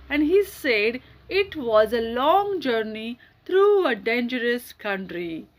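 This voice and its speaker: A woman's voice: fairly high pitch, fairly bright tone. A woman voicing a loyal, fearless tin woodman, earnest and reassuring, in a children's storybook reading. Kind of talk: children's storybook read aloud.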